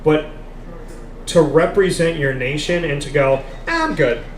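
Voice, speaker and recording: A man's voice: a little high, measured pace. Emphatic man in an echoey café. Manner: emphatic, repeats points for stress. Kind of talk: live casual sports discussion. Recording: echoey café with background noise.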